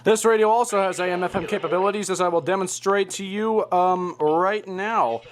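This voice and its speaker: A young man hosting a casual hobby show-and-tell, with an excitable manner and a crude, joking streak. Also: sits high, moderate pace. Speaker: video host.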